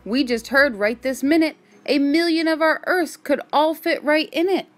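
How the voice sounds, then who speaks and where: A woman reading a rhyming children's book aloud with a measured, rhythmic delivery. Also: sits high, fairly bright tone. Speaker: storybook reader. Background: faint music.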